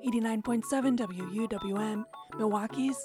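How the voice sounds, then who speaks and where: Woman reporter narrating a radio feature, higher voice. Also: loud music behind the voice. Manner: measured, descriptive storytelling read.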